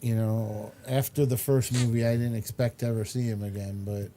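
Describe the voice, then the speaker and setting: A man's voice: medium pitch, level tone, moderate pace, dark tone, slight background noise. A man hosting a casual talk show, chatting about entertainment in a hesitant, rambling way. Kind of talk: casual chat, reviewing entertainment.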